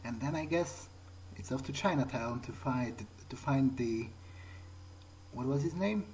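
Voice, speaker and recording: A man's voice: medium pitch, expressive, unhurried, a little mellow, slight background noise, low-quality recording. A man voicing a thoughtful, cautious vampire who thinks aloud in a hesitant, understated way during a game playthrough. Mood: musing. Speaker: roleplaying video game player. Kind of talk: in-character improvised game playthrough. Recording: game music behind the voice.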